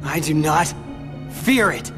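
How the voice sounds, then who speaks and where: A man's voice: a little high, expressive, slow. An actor playing a defiant young male video game hero who wrestles with inner darkness, earnest and intense. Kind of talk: dramatic video game voice acting. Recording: music behind.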